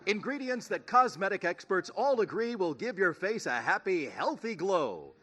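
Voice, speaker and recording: An actor playing an upbeat 1930s radio announcer selling cosmetics in an old-time commercial style. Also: male voice, high-pitched, big pitch swings, moderate pace, bright, forceful tone, heavily acted. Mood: cheery, selling. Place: theater stage.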